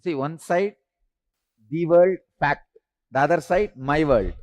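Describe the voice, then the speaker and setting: A man, a Tamil speaker, leading a spiritual class with slow, repetitive instructions and emphatic rises. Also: on the high side, a little mellow, highs cut off. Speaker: spiritual teacher.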